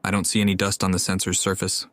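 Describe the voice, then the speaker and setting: A man playing an observant, deadpan young man in a video game, speaking deliberately. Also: low-pitched, no room sound. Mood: analytical.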